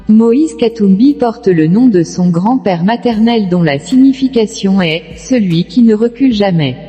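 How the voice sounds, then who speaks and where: A woman's voice: medium pitch, mellow. A woman reading scripted documentary narration in the role of narrator. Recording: long reverb, music bed. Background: background music.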